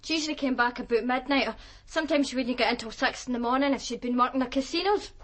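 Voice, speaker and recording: An actress playing a streetwise, defiant Glasgow sex worker with a Glaswegian accent, blunt and colloquial in a radio drama. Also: female voice, sits high, quick pace, fairly bright tone, a little hiss or hum. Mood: matter-of-fact. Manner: blunt, colloquial, matter-of-fact. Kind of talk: naturalistic radio drama acting.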